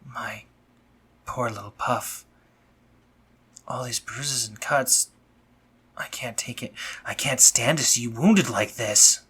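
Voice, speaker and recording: A man playing a doting, dominant spider-monster doctor in an intimate roleplay audio drama, husky and breathy, speaking tenderly yet commandingly. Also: medium pitch, takes it slowly, pauses often, fairly bright tone, exaggerated voice. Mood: anguished tenderness. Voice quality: husky, breathy, sometimes whispering.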